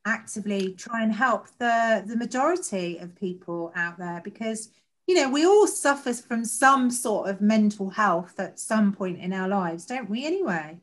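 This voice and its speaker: A woman's voice: mid-range voice, animated, normal speed. Woman interviewer, chatty tag questions. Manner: friendly, tag questions, many fillers. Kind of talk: conversational interview.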